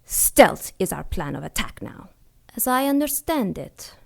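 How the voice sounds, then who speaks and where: A woman's voice: mid-range voice, big pitch swings, a little slow, creaky, full character voice. An actress playing a scornful, commanding cult seneschal in a pulp radio drama, condescending to those beneath her. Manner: condescending, commanding, clipped orders. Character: imperious, scornful female seneschal of secret sinister cult.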